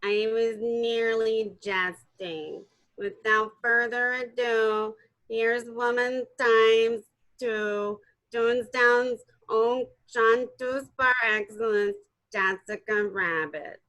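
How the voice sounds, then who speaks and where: A woman voices a glum cartoon hound hosting a show. The words come very slowly and deadpan, in a bright, clear-cut tone. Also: a little high, low-quality recording. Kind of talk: amateur table read of a cartoon film script.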